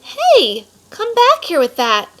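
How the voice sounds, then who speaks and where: A woman's voice: very high-pitched, wildly swooping pitch, slow, a bit bright, faint music behind, pitched above the performer's own voice, exaggerated voice. A woman voicing a frustrated, touchy young boy in a storybook read-aloud, with a snappish, curt manner.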